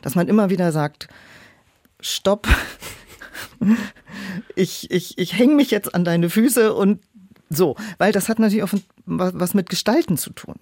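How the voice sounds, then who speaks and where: A woman's voice: on the low side, unhurried, long pauses, darkish tone, no echo, studio quality. A woman speaking in a reflective interview as an audiobook director, explaining things and illustrating them with quoted asides. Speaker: audiobook director and producer, podcast guest.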